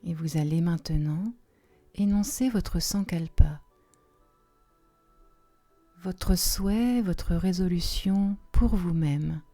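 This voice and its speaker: Very dark, slow-voiced woman guiding relaxation. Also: lowish voice. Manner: soft, soothing, unhurried with long pauses, sometimes breathy. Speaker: yoga nidra relaxation guide. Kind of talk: guided relaxation meditation. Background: soft music.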